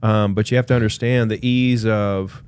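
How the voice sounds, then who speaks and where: A man's voice: lowish voice, steady tone, normal speed, a little mellow, smooth. A man co-hosting a casual podcast chat, talking loosely with lots of fillers and restarts. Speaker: podcast co-host, real estate agent.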